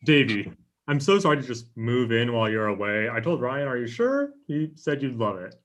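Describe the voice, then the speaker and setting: A man's voice: medium pitch, sing-song, normal speed, lightly acted. A young man acting an anxious, eager-to-please twenty-four-year-old in a table read, earnest and pleading, on a video call. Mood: eager, friendly. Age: young adult. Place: room at home, indoors.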